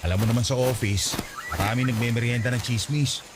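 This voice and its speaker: An actor playing a cautious, stern married office boss in a radio drama, brisk and admonishing. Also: male voice, mid-range voice, heavy background noise, close to an everyday voice. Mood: guarded, firm. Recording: loud music bed behind dialogue.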